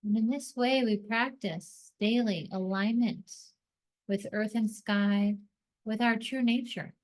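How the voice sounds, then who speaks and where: Slow-paced woman guiding a meditation. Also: medium pitch, fairly even intonation, darkish tone. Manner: slow, deliberate phrasing with pauses between short phrases. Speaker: guided meditation host. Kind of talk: guided meditation, soothing scripted narration.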